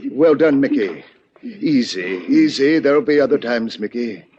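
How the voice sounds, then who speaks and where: An actor playing a smooth, menacing gunman in 1950s radio drama, speaking with formal, mock-courteous phrases and repeating himself. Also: mid-range voice, sing-song, measured pace, darkish tone. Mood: mock-polite menace. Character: smooth, menacing gunman, mock-polite, talks to silent henchman. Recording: muffled, noisy old radio recording.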